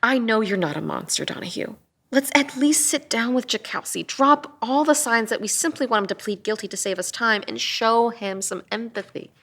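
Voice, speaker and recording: A woman playing an earnest, empathetic police detective at a drama table read, measured and sometimes hesitant. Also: fairly high pitch, fairly bright tone. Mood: urging.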